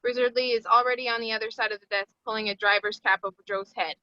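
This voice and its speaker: A woman's voice: fairly high pitch, steady tone, fast, pushed and bright, dry recording, muffled recording. A woman narrating stage directions at a screenplay table read.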